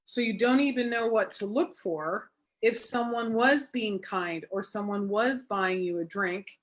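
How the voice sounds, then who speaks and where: A woman's voice: mid-range voice, a little slow. A woman hosting a live online interview, asking rambling, encouraging questions. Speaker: host of a live stream.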